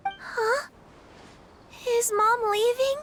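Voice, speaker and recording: A woman voicing an innocent, excitable little daughter in a video game, childlike and full of wonder. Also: very high voice, drawn-out words, noisy background, exaggerated voice. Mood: upset. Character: small daughter, innocent, wide-eyed, excitable little girl. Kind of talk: video game character acting.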